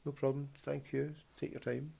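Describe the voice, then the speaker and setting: A man with a Scottish accent rambles through a prank phone call. He hesitates and stammers over his words, at an ordinary middle pitch. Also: flat delivery, moderate pace, creaky, mellow, husky. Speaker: prank caller.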